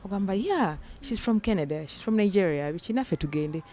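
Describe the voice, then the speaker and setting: A woman's voice: medium pitch, a little fast. A young Ugandan woman singer, chatting in an interview and acting out the people she quotes. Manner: animated storyteller, acts out quotes. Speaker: singer guest. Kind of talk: casual interview, mixing Luganda and English.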